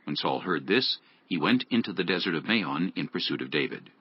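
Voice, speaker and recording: A man's voice: low voice, animated, brisk, slightly rough. A man narrating scripture as a scripted dramatized audiobook. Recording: dull lo-fi audio.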